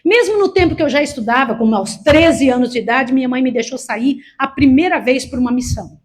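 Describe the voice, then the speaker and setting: A woman's voice: high voice, a little fast. A sixty-year-old Brazilian woman preaching a sermon, emphatic and repetitive, urging her listeners with direct commands. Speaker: preacher, missionary. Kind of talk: sermon to a church congregation.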